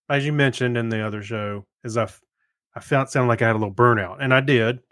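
A man's voice: medium pitch, a bit bright, dry recording, studio quality. A man co-hosting a podcast in an easygoing, casual conversational way. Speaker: podcast co-host. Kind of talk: casual chat.

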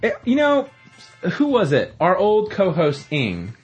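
A man's voice: high voice, huge pitch swings, a little slow, husky, lo-fi sound, soft background music. A young man hosting a podcast in casual chat, rambling through jokey stories with asides. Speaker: podcast host.

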